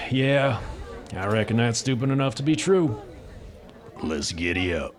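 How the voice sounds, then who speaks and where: A man's voice: medium pitch, expressive, takes it slowly. An actor playing a shrewd, wary smuggler in an audio drama, blunt and folksy in his talk. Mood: sardonic. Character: gruff, wary, shrewd male smuggler with stubble and moustache.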